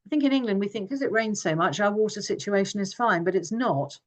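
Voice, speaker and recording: Woman raised in Hong Kong, forum speaker, earnest and halting, mid-pitched voice. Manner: earnest, many restarts and fillers. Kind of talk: informal presentation.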